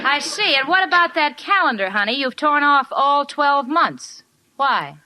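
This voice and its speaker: A woman's voice: high voice, animated, measured pace, sharp tone, some chatter behind, heavily acted. An actress playing a sensible, exasperated young woman who coaxes and worries with wry patience, in a 1940s radio sitcom. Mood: coaxing, exasperated. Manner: wry, coaxing, concerned. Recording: slightly noisy vintage 1940s radio recording.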